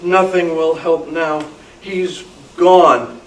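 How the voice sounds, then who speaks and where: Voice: mid-range voice, fairly even intonation, extremely slow. A performer voicing an imaginative, stubborn little boy in a live spooky radio play reading, with a whiny, dramatic delivery. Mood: glum, ominous. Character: little boy Ira, excitable, stubborn, imaginative, fearful of boogeymen. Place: club meeting room, live reading. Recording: roomy distant microphone, noisy meeting room.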